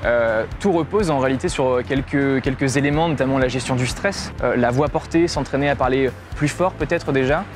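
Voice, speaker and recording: A man's voice: medium pitch, a little fast, few pauses. A young man, a law student, speaking in a street interview with frequent hesitations. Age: young adult. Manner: hesitant, many "euh" fillers. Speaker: law student, association president. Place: outdoors in front of a building. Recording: music bed under the voice.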